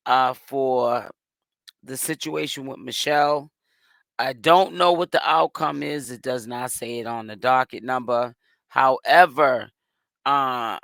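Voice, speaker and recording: Hoarse man giving a casual update. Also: medium pitch, a bit bright, no room sound. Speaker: commentary host. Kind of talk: casual gossip update commentary.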